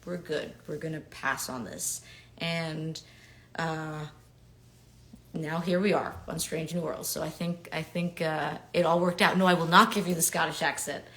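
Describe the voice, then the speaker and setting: A slightly low-voiced woman from New York in her thirties talks casually to fans while signing autographs. She is chatty and upbeat, trailing into quiet murmurs as she writes. She speaks into a webcam mic indoors at home. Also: fairly even intonation, a little slow. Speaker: actor signing autographs on a livestream.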